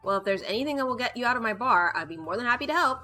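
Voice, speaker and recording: An angry young woman bartender from a visual novel, acted out by a woman reader, bright and sharp-edged. She is combative and accusing, pushing her words out loudly over faint music. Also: fairly high pitch, lively intonation, rapid-fire. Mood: threatening, grudging.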